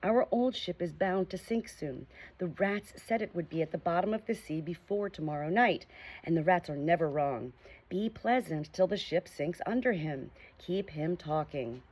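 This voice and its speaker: A woman doing a hushed, wise old owl. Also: on the low side, animated, breathy edge, rough recording. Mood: urgent hush. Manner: hushed, slow, soft whisper-like. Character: wise owl, quiet and urgent.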